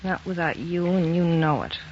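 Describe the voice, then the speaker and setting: A woman's voice: fairly low pitch, moderate pace, a little mellow, close to an everyday voice. An actress playing a gangster's devoted, uneasy lover who is in on his schemes, in 1940s radio crime drama style. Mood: tense, devoted. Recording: muffled, hissy old 1940s radio recording.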